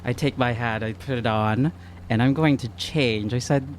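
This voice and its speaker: A man's voice: mid-range voice, moderate pace. A man playing a tabletop game, casually narrating his character's actions in the first person, with faint noise behind.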